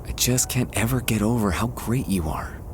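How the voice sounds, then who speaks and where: A man playing a shy, tender young man confessing love to a childhood friend, hesitant and soft-hearted, in an intimate ASMR roleplay. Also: on the low side, a little hiss or hum, lightly acted. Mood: fond, nostalgic. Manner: soft-hearted, hesitant, halting with nervous chuckles. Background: quiet park ambience.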